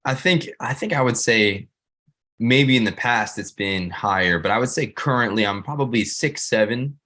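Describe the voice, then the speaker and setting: A man in his twenties, a church worship director, talking as a guest in a warm, reflective way. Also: medium pitch, lively intonation, moderate pace. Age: 20s. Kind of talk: casual chat.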